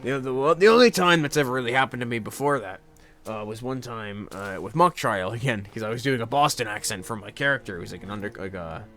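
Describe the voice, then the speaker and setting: A young man casually telling rambling anecdotes in a halting way, repeating words and often chuckling. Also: medium pitch, lively intonation, a bit bright.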